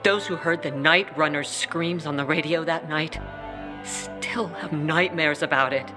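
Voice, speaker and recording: An actor playing a tough peacekeeper commander who speaks in a gruff, curt way. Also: mid-range voice, a little slow, over music, close to an everyday voice.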